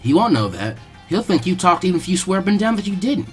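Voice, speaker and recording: Guy doing a cocky ninja kid for a story, lively pitch, taunting, music behind. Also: quick pace. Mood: threatening. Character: brash, cocky ninja boy, taunting and tough.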